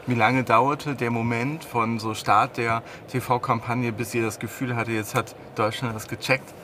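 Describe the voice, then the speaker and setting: A man's voice: mid-range voice, fairly even intonation, unhurried, noisy background. A man hosting a business interview, asking questions in a casual, encouraging way. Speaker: interview host.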